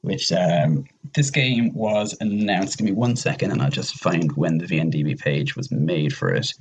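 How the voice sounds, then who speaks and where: Male podcast panelist, deep and slightly rough voice, casual, emphatic and opinionated. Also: normal speed. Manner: rambling, emphatic, opinionated, mild swearing. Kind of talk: casual discussion.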